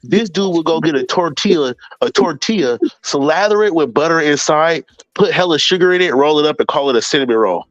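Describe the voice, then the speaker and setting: A man's voice: a little high, lively intonation, dry recording. Joking man on a muffled call. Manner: loud, joking storyteller, rapid-fire questions. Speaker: guest on a call. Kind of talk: casual chat. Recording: muffled audio with crowd noise.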